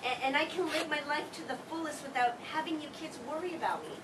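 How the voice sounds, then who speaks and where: Woman playing high-voiced teasing mom of three. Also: fast, close to an everyday voice. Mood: resigned. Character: lively, teasing forty-year-old mother of three. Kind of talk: staged play reading. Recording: distant, noisy live stage recording.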